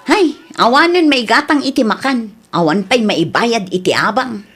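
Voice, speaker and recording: Actress as broke, fed-up wife in radio drama, higher-pitched voice, complaining and exclamatory delivery. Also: female voice, expressive, normal speed, close to an everyday voice. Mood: exasperated. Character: exasperated cash-strapped wife seeking a loan. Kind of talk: amateur radio drama acting.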